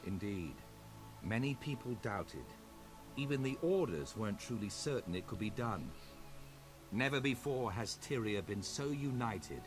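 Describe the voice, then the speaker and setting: An actor playing a solemn male war commander in a video game, speaking in a grave, rousing and measured way. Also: fairly low pitch, expressive. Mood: solemn resolve. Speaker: game character, military leader. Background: orchestral game music.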